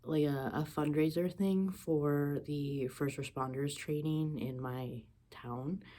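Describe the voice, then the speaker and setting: Stuffy young woman, deep mellow voice. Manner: chatty, laughs often. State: sore throat, a little stuffy.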